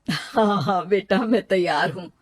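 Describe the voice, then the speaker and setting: A woman voicing a kindly older mother-in-law in an animated moral story, affectionate and coaxing. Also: medium pitch, measured pace, no echo, studio quality, lightly acted. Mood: gentle.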